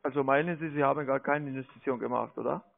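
Male call centre agent, gravelly, non-native German, narrow phone sound. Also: medium pitch, quick pace, no room sound. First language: not German. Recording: dry crackly narrow phone line.

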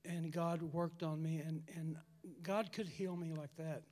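A raspy-voiced man giving a halting personal testimony to a church congregation, repeating words as he goes. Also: on the high side, steady tone, a little mellow. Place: church hall. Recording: long church hall reverb.

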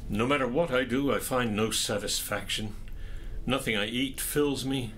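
A man's voice: medium pitch, moderate pace, fairly bright tone, slight background noise, close to an everyday voice, rough recording. An actor playing a weary, bitter, ailing king in a dramatic play, brooding and self-justifying. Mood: weary, futile.

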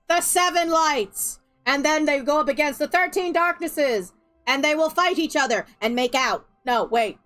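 A woman streamer rants and jokes over game cutscenes, her voice fairly high and bouncing around a lot. She sounds excitable and sarcastic, close on a desk mic, and faint game music runs underneath. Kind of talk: let's play commentary.

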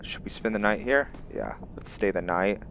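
A man's voice: lowish voice, normal speed, a bit bright, highs cut off, a little hiss or hum. A man giving casual video game commentary with some roleplay, rambling as he thinks aloud. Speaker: let's play host.

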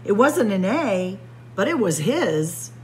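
A woman reading a picture book aloud in a dramatic, suspenseful story-time style, sometimes hushed for emphasis. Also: mid-range voice, slow, slight background noise. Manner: suspenseful, expressive, drops to hushed whispers for emphasis. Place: indoor library room with bookshelves and windows.

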